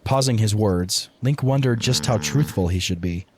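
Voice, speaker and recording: A man's voice: lowish voice, moderate pace, background noise. A man reading scripted story narration for an audio drama.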